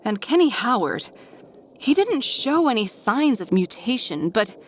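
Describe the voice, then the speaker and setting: A woman's voice: fairly high pitch, big pitch swings, measured pace, a little hiss or hum. Actress as an uneasy, deferential woman scientist. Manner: polite, deferential, serious. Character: dutiful, uneasy female scientist reporting to her boss. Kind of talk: audio drama acting.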